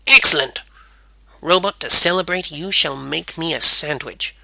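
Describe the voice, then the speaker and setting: A man's voice: a little high, a little slow, vocal fry, bright, forceful tone, dry recording, exaggerated voice, low-quality recording. A man voicing an eager, proud amateur inventor in a narrated story. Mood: proud, commanding.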